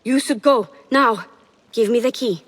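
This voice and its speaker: An actress playing a coy, coldly loyal young palace schemer in a game cutscene, speaking in a sly, controlled, deliberate way. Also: female voice, on the high side, husky. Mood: sly, cool. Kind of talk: video game cutscene acting.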